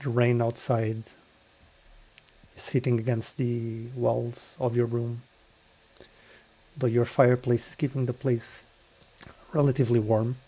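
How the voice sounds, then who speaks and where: A man running a tabletop game, setting a dark scene in slow, unhurried narration. He talks in a halting way, pausing between phrases. His voice sits at a middling pitch. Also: flat delivery. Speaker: dungeon master.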